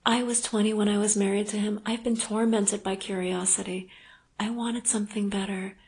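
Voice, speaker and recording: A woman's voice: mid-range voice, level tone, moderate pace, a little mellow, lightly acted. A woman reading an audiobook, voicing a remorseful young married woman in an emotional, pleading way. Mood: anguished. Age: young adult. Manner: pleading, emotional, breathless urgency. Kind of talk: audiobook character voice.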